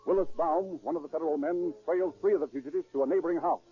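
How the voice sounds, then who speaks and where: A man's voice: a little high, quick pace, slightly rough. A man delivering dramatic scripted narration in the style of 1930s radio. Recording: tinny, phone-like 1930s radio recording.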